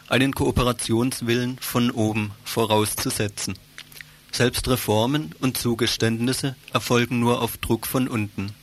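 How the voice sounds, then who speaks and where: A man reads a scripted political commentary for radio in a formal, measured manner. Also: mid-range voice, a bit bright.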